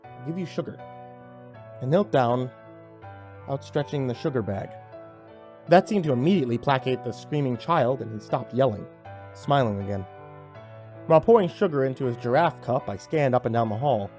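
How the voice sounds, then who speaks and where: A man giving a theatrical, expressive first-person narration of a horror story, acting out the dialogue. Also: mid-range voice, moderate pace, pauses often, faint music behind.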